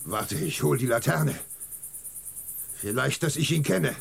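A man's voice: medium pitch, lively intonation, a little slow, creaky, slightly rough, exaggerated voice. A man playing a superstitious, fearful village goatherd in a radio play, given to muttered warnings and frightened shrieks. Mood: wary, eerie. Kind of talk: German radio drama acting.

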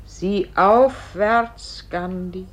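An actress playing a solemn spirit who guides a dying soul, speaking in measured, incantatory phrases in a poetic radio play. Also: female voice, mid-range voice. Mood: urging, exalted.

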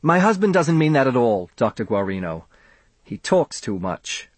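A man's voice: mid-range voice, big pitch swings, normal speed, dry recording. A man voicing a domineering, snappish wife and mother in an audiobook, insistent and nagging. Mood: placating, pushy.

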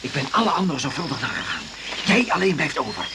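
A man's voice: on the high side, big pitch swings, fast. An actor playing a calculating, menacing man in a radio drama, speaking in an insinuating, threatening way. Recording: very noisy old radio recording.

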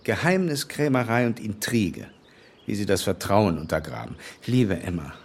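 An actor playing an earnest, critical gentleman in a period radio play, speaking standard German with skeptical, muttered asides. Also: fairly low pitch, very animated, moderate pace. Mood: dryly disapproving. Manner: skeptical, muttering interjections, moralizing. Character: earnest, upright gentleman, critical, dryly commenting on a letter. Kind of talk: period radio drama acting.

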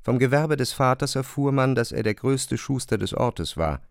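Measured male narrator, very dry sound. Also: mid-range voice, expressive, vocal fry, no room sound. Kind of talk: literary radio drama narration.

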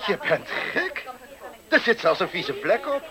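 An actor playing a vain, self-important fashion designer in a 1970s radio play, pompous and easily indignant. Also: male voice, high voice, big pitch swings, measured pace, a crowd in the background, heavily acted. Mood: scornful.

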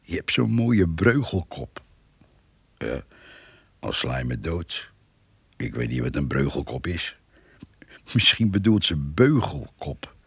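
An actor playing a grumbling, chatty older countryman in a radio play, gravelly, muttering and folksy. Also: very deep voice, big pitch swings, slow, long pauses, fairly bright tone, no echo, full character voice. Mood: musing. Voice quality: gravelly, husky. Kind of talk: Dutch radio play acting.

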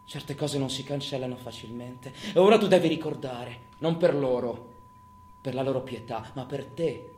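An actor playing a tormented, volatile criminal in a theatrical monologue, foul-mouthed and swinging between muttering and outbursts. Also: male voice, mid-range voice, normal speed, darkish tone, natural voice. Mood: brooding, solemn. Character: tormented, volatile criminal man, taunting and cursing himself. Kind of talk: theatrical monologue, radio stage drama. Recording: roomy echo, faint background noise.

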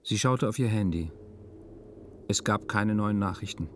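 An actor playing a detached male narrator, reading a literary radio drama in a deadpan, meticulous, measured manner. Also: on the low side, a little hiss or hum, close to an everyday voice. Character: detached male first-person narrator of a melancholy story.

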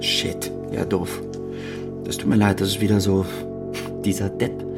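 An actor playing an anxious writer pleading with his partner in a naturalistic radio drama, halting and urgent. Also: deep voice, completely flat delivery, vocal fry, over music. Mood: apologetic, conciliatory.

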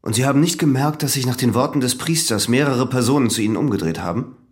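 An actor playing a young examining magistrate, polite, measured and persistently probing in an audio drama interrogation. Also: male voice, mid-range voice, lightly acted. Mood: pressing, measured. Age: young adult.

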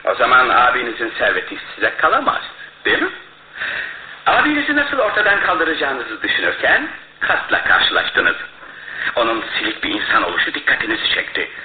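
An actor in a radio play as a shrewd, self-assured male detective who explains his case in a theatrical, deliberate way. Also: medium pitch, wildly swooping pitch. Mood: accusing, methodical. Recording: muffled, roomy radio drama recording.

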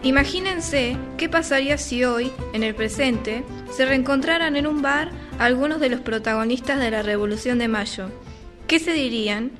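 A woman's voice: high voice, moderate pace, over music. A young woman giving scripted narration for a student radio play. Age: teenager or young adult.

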